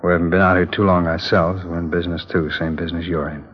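An actor playing a confident drug dealer in a 1950s radio drama, pressing and smooth. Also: male voice, low-pitched, level tone, quick pace. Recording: dull lo-fi old radio broadcast.

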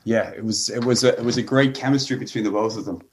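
A man appearing as a guest on a video call, friendly and quick with jokes. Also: mid-range voice, steady tone, a little hiss or hum. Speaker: guest, whiskey brand director. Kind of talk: casual chat on a video call.

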